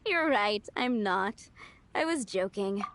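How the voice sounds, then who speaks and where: A woman's voice: medium pitch, lively intonation, takes it slowly, fairly bright tone, slight background noise. An actress playing a playful young woman who runs a tavern, in a video game. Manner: playful, joking and teasing.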